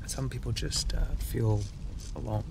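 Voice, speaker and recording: Man playing a slow, gravelly protective dad. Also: medium pitch, background noise. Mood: tender, consoling. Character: gruff, protective detective father, tender with daughter. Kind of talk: audio drama acting.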